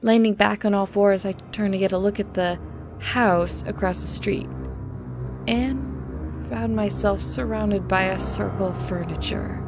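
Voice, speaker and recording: A woman's voice: mid-range voice, fairly even intonation, measured pace, over music. A woman reading a first-person story aloud as an audiobook narrator.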